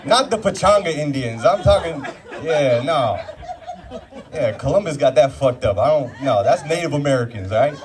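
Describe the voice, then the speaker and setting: A man's voice: a little high, expressive, normal speed, far from the mic, crowd noise. A young man from Orange County doing a rambling, self-mocking stand-up set in a brew pub comedy club. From Orange County, California. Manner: self-deprecating, rambling with filler words.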